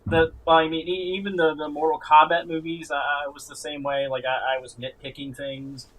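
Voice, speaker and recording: A man co-hosting a casual podcast, halting and sweary, often stammering and repeating his words. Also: fairly high pitch, level tone, a bit bright, poor audio. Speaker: podcast co-host. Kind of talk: casual group chat about films and games.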